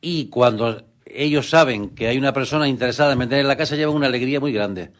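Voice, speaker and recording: A man's voice: medium pitch, fast. A middle-aged man from the Canary Islands, a guest on a radio interview, talking earnestly and hesitantly with many restarts. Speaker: guest, rural real estate agent. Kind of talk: conversational interview.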